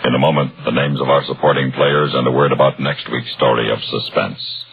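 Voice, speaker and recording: A man announcing a radio drama in a formal, measured, portentous 1950s scripted style. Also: very deep voice, little pitch movement, husky. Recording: dull old radio broadcast recording. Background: music.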